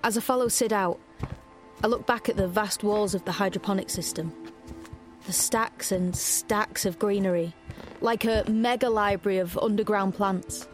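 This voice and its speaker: An actress playing a vulnerable young heroine, narrating an audio drama in the first person, intimate and hesitant. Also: female voice, mid-range voice, fairly even intonation, moderate pace, soft background music, lightly acted. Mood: uneasy, reflective. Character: tense young heroine narrating her own flight, vulnerable, reflective.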